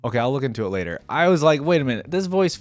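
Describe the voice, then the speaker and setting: A man's voice: medium pitch, sing-song, rapid-fire, dry recording, faint music behind. A man hosting a casual reaction video, commenting informally on what he hears.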